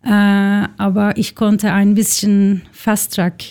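Mellow woman with a Turkish accent. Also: mid-range voice, little pitch movement, smooth. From Istanbul, Turkey. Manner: halting, searching non-native phrasing. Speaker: record label founder, guest. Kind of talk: casual interview chat.

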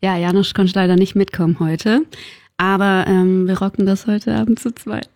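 A woman's voice: medium pitch, flat delivery, normal speed, a little mellow, no room sound. A woman musician chatting casually as a guest in a radio interview. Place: radio studio.

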